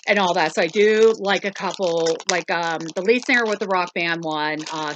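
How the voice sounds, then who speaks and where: Woman host of a chatty toy review. Low-ish but bright voice, rambling and full of ums. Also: slight background noise, low-quality recording. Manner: rambling, lots of ums and self-corrections. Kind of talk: casual chatty doll review.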